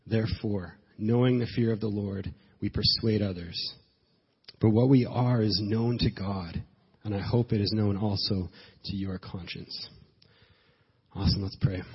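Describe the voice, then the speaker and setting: A male pastor preaching while sick with a cold, sounding nasal and stuffed up, speaking earnestly with slow emphasis and halting restarts. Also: lowish voice, fairly even intonation, husky. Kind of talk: sermon. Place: church sanctuary. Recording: dull sound with long hall reverb.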